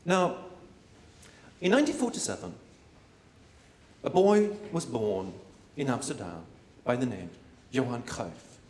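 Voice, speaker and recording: Rambling man with an animated voice. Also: medium pitch, unhurried, pauses often. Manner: rambling, many fillers and repeated words; playful asides to the audience. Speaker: performance lecturer. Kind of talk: live performance lecture. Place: lecture room at an art academy. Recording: echoey room.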